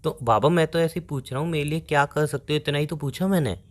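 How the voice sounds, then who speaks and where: A man's voice: fairly high pitch, fast, close to an everyday voice. A young man playing a demanding, teasing boyfriend who keeps badgering his girlfriend in a conversational phone-call skit. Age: young adult. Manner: insistent, badgering.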